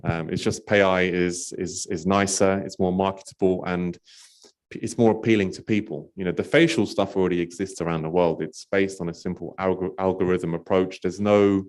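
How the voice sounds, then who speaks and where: A man from Poland, a company representative, answering panel questions in a relaxed, talkative way with many fillers. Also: sits low, normal speed. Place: conference stage.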